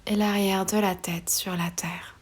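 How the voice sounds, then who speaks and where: Soft, breathy woman guiding meditation. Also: medium pitch, little pitch movement. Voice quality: soft, breathy, often near a whisper. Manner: slow, soothing, pausing between short phrases. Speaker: yoga nidra meditation guide. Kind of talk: guided relaxation meditation.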